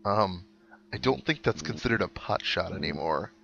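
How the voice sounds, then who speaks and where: A man's voice: lowish voice, expressive, moderate pace, gravelly, a bit bright, lo-fi sound. A man giving casual, wry let's play commentary with sarcastic asides. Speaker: gaming video host. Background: game music.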